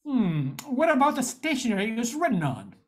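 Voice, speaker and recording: A woman's voice: a little high, very animated, brisk. A woman playing an earnest, resourceful male private eye in an old-time radio detective drama. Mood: inquisitive.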